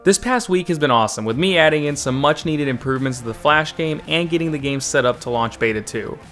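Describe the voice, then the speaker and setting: A man's voice: a little high, fast talker, a bit bright. A man hosting a scripted video update as a game developer, speaking in an upbeat, informal way over music.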